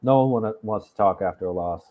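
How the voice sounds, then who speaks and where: A man's voice: sits low, quick pace. A man co-hosting a casual chat from a remote call, thinking aloud in a chatty way. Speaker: regular co-host. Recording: tinny phone-like line.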